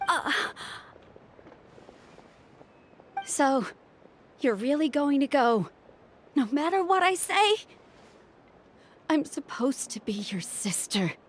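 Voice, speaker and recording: An actress voicing an exasperated young younger sister and dutiful doctor in a video game, with a scolding, emphatic delivery. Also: female voice, high-pitched, expressive, slow, long pauses, slight background noise. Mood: hurt, resigned. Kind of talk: dramatic video game dialogue.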